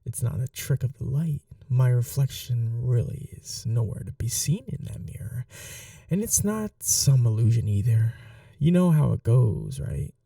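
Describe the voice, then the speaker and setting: A performer acts out an obsessive, courteous vampire in an intimate ASMR roleplay. The voice is gravelly and unhurried, coaxing in soft murmurs that slip toward whispers. The sound is dry, with a quiet menace beneath the politeness. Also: mid-range voice, big pitch swings, dry recording, distant mic, heavily acted. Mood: sly, amused.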